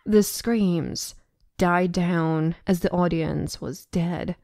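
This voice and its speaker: A woman's voice: on the low side, drawn-out words, creaky, mellow. A woman narrating a scripted audio drama as the storyteller.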